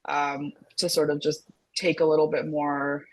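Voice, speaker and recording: Low husky woman, Americanized accent. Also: flat delivery, poor audio. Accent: American-sounding international school accent. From Singapore. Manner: thoughtful, halting, many fillers and restarts. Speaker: mental health counselor co-hosting a discussion. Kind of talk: casual reflective conversation.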